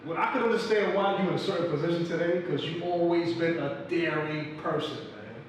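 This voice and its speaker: A man's voice: a little high, fairly quick. A man hosting an interview, talking to his guest in casual, brotherly slang. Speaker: interviewer host. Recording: echoey room, far from mic, light noise.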